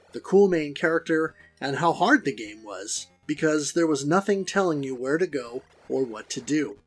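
A middle-aged man delivers a scripted review. His voice sits a little high, and his phrasing is wordy and theatrically formal. Music plays lightly behind him. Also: breathy edge. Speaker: host of a video game review series.

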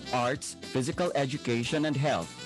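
A man's voice: medium pitch, moderate pace, over music. A man with a Filipino accent reading scripted promotional narration as a radio announcer.

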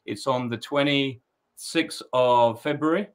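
A man's voice: medium pitch, slow pace, clean tone. Young man from the UK, guest, video call, chatty, upbeat, self-mocking. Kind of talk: casual live chat. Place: indoors at home.

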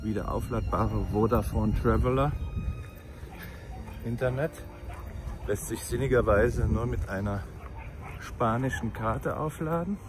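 A man's voice: medium pitch, unhurried, pauses often, music in the background. A man narrating a casual travel vlog in a dry, grumbling way. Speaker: travel vlogger.

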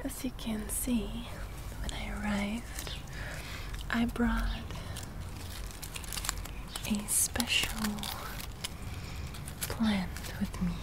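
A young woman playing a gentle forest witch healer in a whispered ASMR roleplay, soft-spoken and repeating words hypnotically. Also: medium pitch, little pitch movement, very slow, long pauses, very noisy. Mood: gentle, coaxing. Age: 20s. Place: outdoors in a leafy garden.

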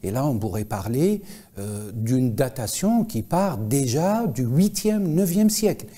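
A man in his forties giving explanations as an expert interview guest, with formal, hesitant, deliberate phrasing. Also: mid-range voice, sing-song, warm tone. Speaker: guest expert, Islamic scholar. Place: TV studio, indoors.

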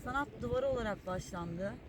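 A woman's voice: on the high side, fairly quick, crowd noise. A woman giving polite, casual explanations in an interview, recorded outdoors by a harbour. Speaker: art teacher painting a mural. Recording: loud wind and boat engine noise.

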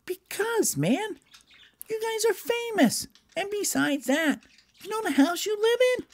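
A woman's voice: very high voice, very animated, a little slow, pitched above the performer's own voice, exaggerated voice. A woman voicing a chatty, kindly little elf in a children's Christmas story. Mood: eager. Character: friendly, reassuring little pointy-eared Christmas elf.

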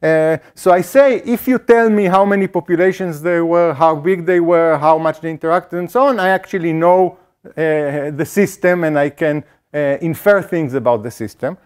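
A man's voice: fairly high pitch, moderate pace, darkish tone, clean tone. A middle-aged man giving an academic statistics lecture in an auditorium, explaining step by step with frequent fillers and restarts.

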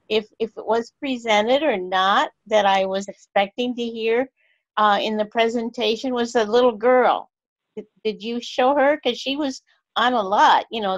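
Female club member in casual discussion over video call, higher register, halting and repetitive phrasing. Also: muffled recording. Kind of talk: casual questioning in group discussion.

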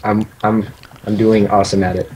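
A man's voice: fairly low pitch, flat delivery, moderate pace, mellow, slight background noise. A young man chatting offhandedly with a friend during a game, a little mumbly. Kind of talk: casual gameplay chat.

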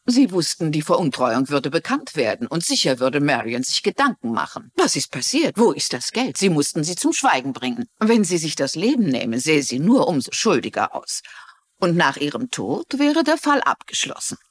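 An actress playing a shrewd, genteel middle-aged mystery writer and amateur sleuth, explaining things articulately in a German-dubbed TV mystery. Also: female voice, deep voice, very animated, moderate pace, breathy edge, dry recording, full character voice. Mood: probing, assured. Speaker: lead character and narrator.

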